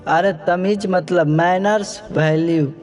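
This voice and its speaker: A man plays a mild young village husband in a cartoon moral tale. His voice is very high for a man, polite and placating, with music underneath. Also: fairly even intonation, slow, darkish tone. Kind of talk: Hindi cartoon moral story dialogue.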